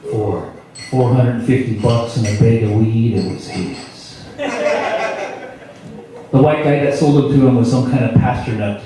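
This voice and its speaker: A man from South Dakota performing a spoken-word story live, with a wry, dramatic delivery that swings from loud to hushed. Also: mid-range voice, noisy background. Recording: echoey room from far off.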